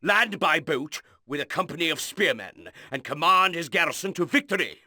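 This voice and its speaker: A man playing a stern noble rebel lord, giving a game mission briefing with formal, measured authority. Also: on the high side, big pitch swings, pushed and bright, dry recording, clean studio sound, full character voice. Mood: formal, resolute. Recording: music under the voice.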